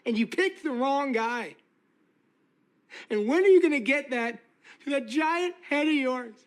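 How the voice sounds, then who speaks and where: A man's voice: extremely high pitch, animated, unhurried. A man acting a sentimental, defensive guy in a sitcom, rambling and repeating himself casually. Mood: worked up. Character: sentimental, defensive, scruffy-mannered man, emotional with his friend. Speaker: actor in a TV sitcom.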